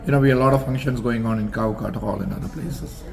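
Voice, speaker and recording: A man's voice: medium pitch, creaky, a loud crowd. Older man recalling his college days. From Singapore. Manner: measured, formal, many fillers and restarts. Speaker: interview guest, alumnus. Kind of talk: reminiscing interview answers.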